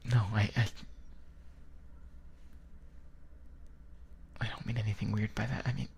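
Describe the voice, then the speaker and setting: A man playing a shy, lovestruck friend in an ASMR boyfriend roleplay, speaking in a soft, breathy whisper with a hesitant, halting manner. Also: on the low side, a little hiss or hum. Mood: nervous, hesitant. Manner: hesitant, halting, gently awkward. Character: shy, lovestruck male friend confessing feelings.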